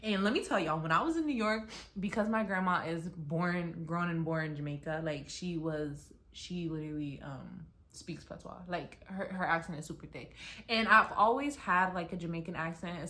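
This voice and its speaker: A woman's voice: sits low, normal speed, sharp tone. A young American woman vlogging from her apartment while packing to move, rambling casually with lots of "like" fillers and restarts. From United States. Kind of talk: casual rambling chat to camera.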